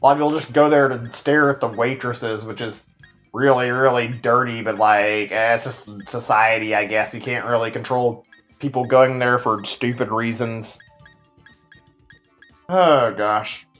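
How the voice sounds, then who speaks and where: Texan male streamer, mid-pitched, rambles through his chat over faint game music, casual and unscripted. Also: fairly even intonation, a little slow, fairly bright tone. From Texas. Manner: rambling, filler-heavy, answers chat as he plays. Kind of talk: casual live-stream chat while playing.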